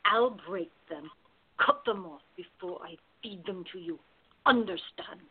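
A woman playing a bold, tough woman in biker disguise with a husky voice in a radio play. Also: mid-range voice, big pitch swings, unhurried, narrow, tinny audio, exaggerated voice. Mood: cold menace.

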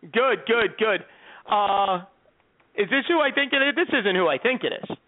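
A man's voice: sits high, expressive, normal speed. A man in his thirties hosting a fantasy sports radio show, rambling and repeating words as he talks. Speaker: host. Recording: tinny phone-like sound.

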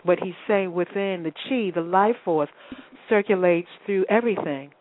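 A woman's voice: lowish voice, moderate pace. A woman hosting a spiritual call-in radio show, who talks in a reflective, teacherly way with restarts. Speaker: host. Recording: phone-line call-in audio.

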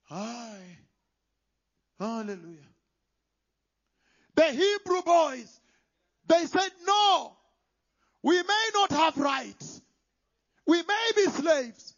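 Man, sermon, emphatic, very high voice, dull lo-fi sound. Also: sing-song, extremely slow, pauses often. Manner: emphatic, swings from shouting to hushed whispers. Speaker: preacher.

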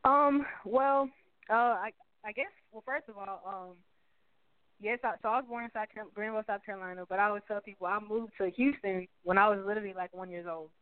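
A young woman in her twenties chats as a guest, upbeat and full of "you know"s. Her voice is smooth and clear but comes over a thin, tinny phone line. The sound is dry, with no room around it. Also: medium pitch, a little fast, no echo. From Texas. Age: 20s. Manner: upbeat, many fillers and repeats. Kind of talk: casual interview chat.

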